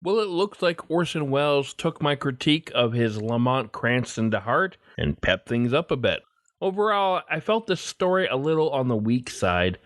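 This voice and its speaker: A man hosts a podcast with scripted commentary and announcements. His mid-pitched voice moves in a lively way. The sound is dry and close. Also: dry recording. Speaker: podcast host.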